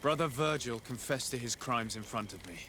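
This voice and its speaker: A man's voice: mid-range voice, animated, brisk, bright, forceful tone, slight background noise. An actor playing a composed, diplomatic nobleman in a video game cutscene, speaking formally and with courtesy. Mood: solemn.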